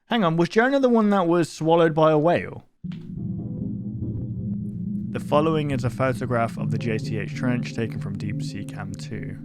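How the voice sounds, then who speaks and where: A man's voice: medium pitch, moderate pace, pauses often, loud music behind the voice. A man giving casual reaction commentary as a video host, thinking aloud in a puzzled, questioning, rambling way.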